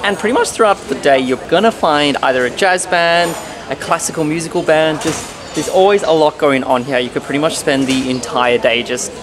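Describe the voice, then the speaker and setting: A man's voice: on the high side, brisk, noisy background. A young man vlogging a cruise ship tour, filmed at arm's length on camera in an upbeat, chatty guide manner. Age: young adult. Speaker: travel vlogger. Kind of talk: casual travel vlog tour. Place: indoor areas of a cruise ship. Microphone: camera held at arm's length.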